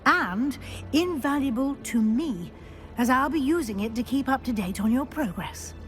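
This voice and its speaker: A woman playing a shrewd, formal professor of witchcraft in a video game cutscene, crisp and authoritative. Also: high voice, expressive, normal speed, faint music behind. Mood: brisk, businesslike. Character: brisk, shrewd female professor of witchcraft, gently suspicious.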